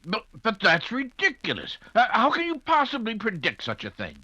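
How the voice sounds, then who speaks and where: Man playing a lively, fussy English doctor. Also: high voice, moderate pace, dry recording, exaggerated voice. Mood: indignant. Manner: hesitant, fussy, avuncular. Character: genial, flustered English doctor narrating his detective friend's adventures. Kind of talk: 1940s radio drama storytelling.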